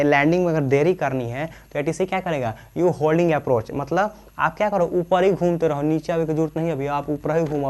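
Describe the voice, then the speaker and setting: A man's voice: a little high, darkish tone. A man from Bihar with a Bihari accent explains like an informal teacher, colloquially, often asking questions and answering them himself. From Bihar, India. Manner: colloquial, slips into local dialect. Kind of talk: informal classroom-style explanation.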